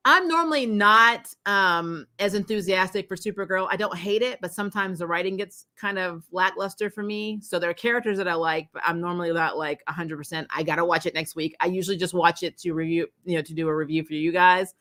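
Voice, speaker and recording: A woman with a somewhat low voice and a bright, forceful edge hosts an off-the-cuff review. She talks casually and slangily, peppering her sentences with fillers like "you know". She is animated and acts out reactions as she retells scenes. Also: a little fast, hardly any pauses. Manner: animated, exclaiming, acts out reactions, laughs often; informal slangy talk with frequent "like" and "you know". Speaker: host of a TV episode review show.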